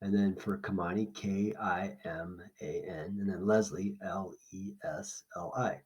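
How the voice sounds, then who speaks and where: A man with a fairly low, very mellow, soft-edged voice speaks as a teacher in an informal lesson. He explains and reads aloud in an instructional manner, checking in with his students as he goes. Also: steady tone, measured pace, husky, highs cut off. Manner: explanatory, checks understanding with short questions.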